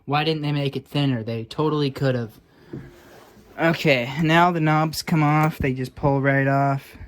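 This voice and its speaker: A man's voice: a little high, fairly even intonation, a little slow. Man talking casually through a repair. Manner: informal, thinking aloud. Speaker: hobbyist radio repairer. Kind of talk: casual hands-on repair commentary. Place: indoors.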